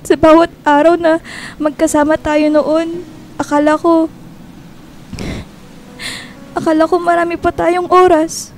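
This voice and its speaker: An actress in a radio drama playing a grief-stricken young woman, pleading and halting through tears. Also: very high voice, level tone, a little mellow. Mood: grieving, aching. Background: music.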